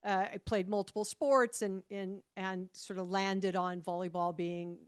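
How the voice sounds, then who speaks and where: A woman in her fifties from Hawaii, a podcast guest telling stories about her past in a relaxed, animated way, with frequent restarts and light laughter. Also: medium pitch, a little slow, smooth. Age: 50s. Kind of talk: conversational podcast interview.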